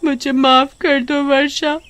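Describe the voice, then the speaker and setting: A woman voicing a guilty, harsh young mother in an animated horror story, with exaggerated, melodramatic delivery. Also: high-pitched, takes it slowly, pitched above the performer's own voice. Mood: nervous, pleading. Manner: wailing, stammering, exaggerated.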